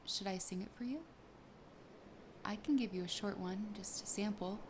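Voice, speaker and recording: A woman voicing a wistful, sassy siren in an ASMR roleplay, softly and breathily, often half-whispering at a slow, intimate pace. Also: mid-range voice, noisy background, lightly acted. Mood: delighted, curious. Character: sassy, possessive, wistful young female siren.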